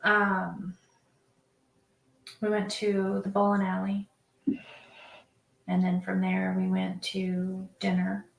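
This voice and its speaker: A mother recounting the day in an interview, halting with many ums. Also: medium pitch, monotone, vocal fry, roomy sound, low-quality recording. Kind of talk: recorded interview.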